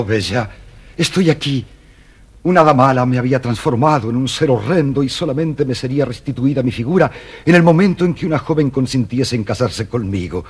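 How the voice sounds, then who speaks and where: An ardent, noble storybook prince. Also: male voice, medium pitch, husky. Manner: formal, fervent. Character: noble young prince, freed from a spell, ardent. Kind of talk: scripted children's audio storybook acting.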